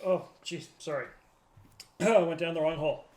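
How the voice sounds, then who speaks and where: A woman's voice: sits low, moderate pace, mellow, reverberant, a little hiss or hum. A woman talking casually and a little hesitantly as a player in a tabletop roleplaying game. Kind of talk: casual in-character and out-of-character chat.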